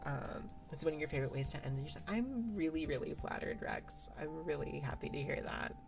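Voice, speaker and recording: A woman streaming ASMR, chatting softly and rambling with lots of fillers, sometimes dropping to a whisper. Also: deep voice, animated, a little fast, gravelly, music in the background. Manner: rambling, many fillers and restarts; soft-spoken, drifts into whispers. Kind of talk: soft casual stream chat. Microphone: binaural mic.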